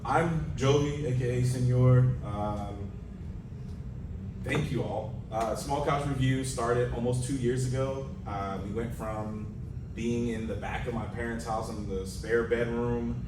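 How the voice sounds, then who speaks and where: A man's voice: mid-range voice, fairly even intonation, unhurried. Echoey, casual man hosting a panel. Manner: informal, slangy. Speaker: panel host of a movie review show. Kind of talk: casual unscripted panel talk to an audience. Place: convention panel room. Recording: echoey noisy convention room, distant mic.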